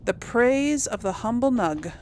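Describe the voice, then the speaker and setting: A woman's voice: on the high side, animated, measured pace, darkish tone, slight background noise. A woman hosting a gaming video with casual commentary, often muttering to herself hesitantly as she talks to viewers. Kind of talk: casual game commentary, talking to the audience.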